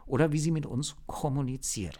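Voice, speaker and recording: A man's voice: mid-range voice, animated, a little fast, dark tone, pitched above the performer's own voice, natural voice. A man voicing a hesitant, observant female agent who speaks tentatively and thoughtfully in a story.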